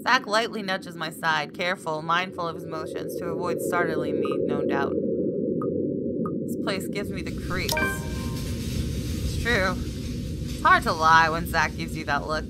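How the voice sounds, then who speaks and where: A woman's voice: sits low, measured pace, pauses often. A woman reading first-person story narration expressively, as a gamer playing through a visual novel. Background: loud game music.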